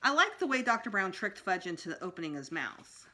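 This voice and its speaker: A woman's voice: medium pitch, sing-song, a little fast, sharp tone. A middle-aged woman reading a children's book aloud expressively as a school storytime reader. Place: small room indoors at home.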